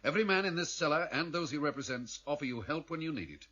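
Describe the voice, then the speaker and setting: A grave, commanding leader of a criminal underworld in a radio play. He speaks formally and with authority, his pitch moving widely for dramatic weight. The recording is slightly dull and boxed in. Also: expressive, quick pace, bright, forceful tone.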